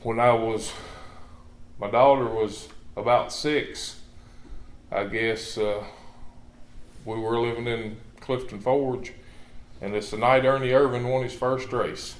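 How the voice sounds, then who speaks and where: A man's voice: medium pitch, fairly even intonation, takes it slowly, pauses often. Plainspoken man telling his story. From southwestern Virginia. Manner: rambling, plainspoken storytelling with dry humor. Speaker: guest giving testimony. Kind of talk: personal testimony. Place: church sanctuary. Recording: echoey church room.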